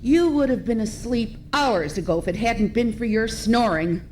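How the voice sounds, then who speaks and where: Woman doing naggy whiny radio sitcom wife, high voice, melodramatic n accusing, bit noisy live recording. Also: animated, normal speed, a little mellow, natural voice. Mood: nagging, accusing. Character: nagging, self-pitying, suspicious wife in radio sitcom. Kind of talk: old-time radio comedy sketch acting. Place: live stage in a hotel ballroom.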